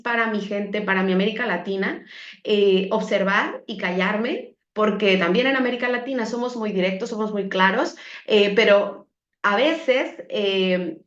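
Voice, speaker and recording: A middle-aged woman with a Mexican accent, an academic giving a conversational, reflective presentation. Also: mid-range voice. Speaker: academic, conference speaker. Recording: echoey room, muffled dull audio.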